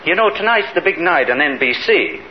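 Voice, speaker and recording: A man's voice: a little high, lively intonation, a little fast, natural voice. An actor playing a genial, chatty neighborhood policeman in a 1950s radio comedy, folksy and hearty. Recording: dull, hissy 1950s radio recording.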